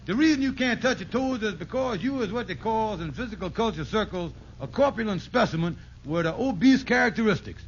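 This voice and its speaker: A man's voice: high voice, expressive, a little fast, few pauses. An actor playing a scheming, pompous conman who uses big words and mangled grammar in an old radio sitcom. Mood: pompous, mocking. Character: scheming, fast-talking male conman, pompous fake fitness instructor. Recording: muffled, noisy old radio broadcast.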